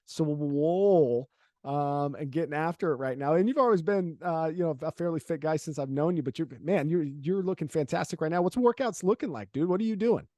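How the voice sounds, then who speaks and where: Fired-up guy hosting a podcast, kinda high voice, super dry close mic. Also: a little fast, few pauses, no room sound. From Louisiana, USA. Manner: energetic, swears casually, laughs often. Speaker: podcast host. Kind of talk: casual interview chat.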